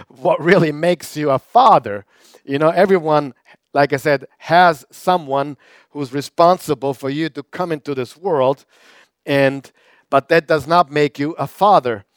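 Male pastor, crisp dry voice. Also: a little high, unhurried, no echo. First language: German. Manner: conversational preaching with repetitions and fillers. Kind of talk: sermon.

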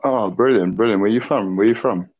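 A man's voice: fairly low pitch, fairly quick, slightly rough, narrow, tinny audio. Hesitant South African man in his twenties. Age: 20s. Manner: hesitant, lots of "uh" and "um", eager. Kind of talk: casual chat.

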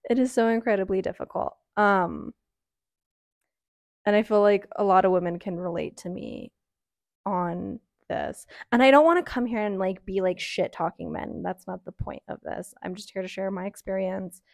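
A young woman in her early twenties chats on her own in a loose, rambling way, often pausing on "um" and "like." Her voice sits at an ordinary middle pitch, and the recording is dry and close with hardly any room sound. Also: gravelly, no echo. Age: early 20s. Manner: rambling, frank, many "um"s and "like"s. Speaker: podcaster. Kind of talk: casual solo chat.

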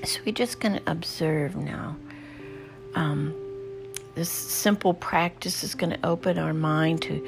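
A woman with a low, soft voice leads a guided meditation, often dropping to a whisper. She speaks slowly and soothingly, with music playing clearly underneath. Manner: slow, soothing, unhurried prompts.

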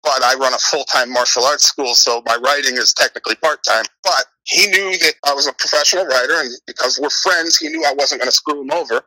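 A man's voice: medium pitch, pushed and bright, no room sound, low-quality recording. A middle-aged American man answering interview questions conversationally, rambling and repeating himself for emphasis. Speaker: interview guest, author and martial arts instructor.

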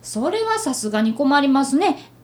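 A woman's voice: high-pitched, big pitch swings, normal speed. A woman playing an earnest, polite boy of about eleven, an apprentice detective in a radio drama. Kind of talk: radio drama acting and narration.

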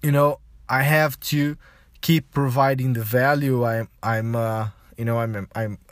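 A man from Brazil, a native Portuguese speaker with a Brazilian accent, hosting a casual solo podcast in a halting, explanatory manner with frequent filler phrases. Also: medium pitch, steady tone, dry recording, studio quality. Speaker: podcast host.

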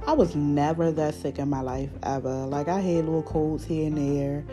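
A woman with a Baltimore accent hosting her podcast, talking freely with a raspy voice from a recent illness, rambling with fillers and restarts. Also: low voice, steady tone, moderate pace, over music. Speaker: podcast host. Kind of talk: unscripted casual monologue.

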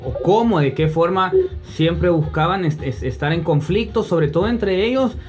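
A man's voice: high-pitched, normal speed, darkish tone, soft background music. A man with a Honduran accent conducting a conversational sports interview, wordy and repeating phrases as he builds questions.

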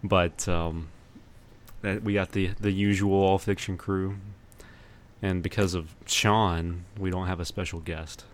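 A man hosting a podcast in casual chat, often pausing partway through his sentences. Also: low-pitched, steady tone. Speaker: podcast host. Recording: noticeable background noise.

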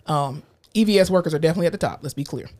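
A woman co-hosting a casual podcast chat, blunt and animated, swearing freely and joking as she rants. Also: low-pitched, very fast. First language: English. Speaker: podcast co-host.

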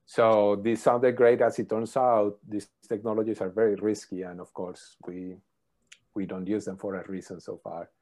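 A middle-aged man, a professor answering interview questions thoughtfully, pausing often with ums. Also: on the low side, flat delivery, darkish tone. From Colombia. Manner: thoughtful, hesitant with many ums. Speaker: professor, podcast guest.

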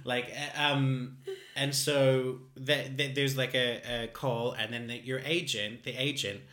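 An Australian man co-hosts a loose conversation, animated and camp, often breaking into laughter. His voice sits in a middle range. The room adds a noticeable echo. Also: mid-range voice, a little slow. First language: English. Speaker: podcast co-host. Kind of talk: casual chat. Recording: roomy echo.

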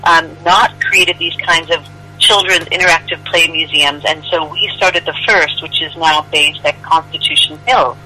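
Bright American woman on a phone line. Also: deep voice, steady tone, moderate pace, faint music behind. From United States. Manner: earnest, articulate, upbeat. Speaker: museum CEO, guest. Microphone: telephone.

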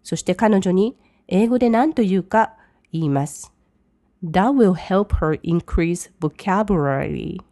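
A woman who teaches English, reading each English line slowly and then translating it briskly. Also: lowish voice, expressive, mellow, no room sound, studio quality. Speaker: language teacher. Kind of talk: bilingual lesson commentary.

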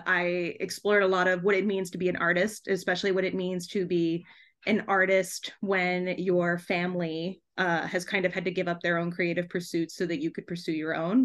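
A woman's voice: on the low side, a bit bright. A woman chatting casually and effusively as an interview guest on a podcast, in a giggly, informal way. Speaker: guest author and podcast host.

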